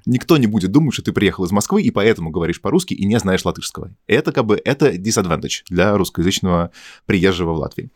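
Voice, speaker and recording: A man's voice: low-pitched, expressive, fast talker, no echo, studio quality. A man from Russia hosting a casual podcast, telling long anecdotes with plenty of fillers and asides. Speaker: podcast host. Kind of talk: casual conversational podcast chat.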